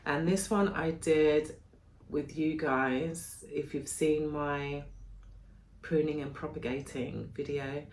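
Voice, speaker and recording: Chatty woman, low voice, stuffy from a cold, rambling and self-correcting while showing her plants. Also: steady tone, takes it slowly, a little hiss or hum. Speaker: plant vlogger. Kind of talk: chatty plant care vlog. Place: home room with houseplants.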